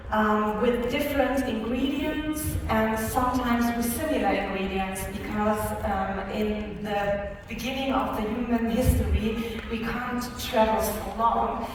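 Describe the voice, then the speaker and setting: Hesitant woman in an echoey hall. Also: fairly high pitch. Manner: hesitant, many fillers and restarts. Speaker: panel speaker at a product training. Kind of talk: live panel answer with fillers. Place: large conference hall. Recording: echoey hall, speaker far from mic, noisy.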